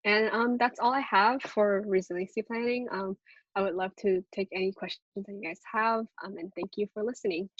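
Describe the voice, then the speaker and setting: A woman's voice: mid-range voice, fairly even intonation, fairly quick, no echo. A young adult woman who speaks Cantonese at home, giving a hesitant intern presentation over a video call. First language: Cantonese at home, speaks several dialects. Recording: dull, lo-fi video call audio.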